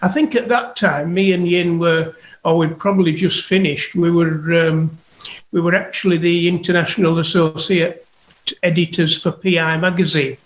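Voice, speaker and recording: A British man, a guest investigator, reminiscing in a halting, easygoing way during a casual interview. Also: a little high, fairly even intonation. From United Kingdom. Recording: echoey room, dull lo-fi sound.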